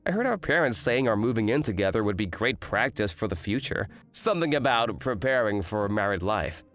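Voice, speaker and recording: A man's voice: fairly low pitch, lively intonation, brisk, fairly bright tone, faint music behind. A man playing an exasperated eighteen-year-old student in a manga rom-com dub, complaining and narrating with indignant drama. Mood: wry narrating. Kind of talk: manga dub acting and first-person narration.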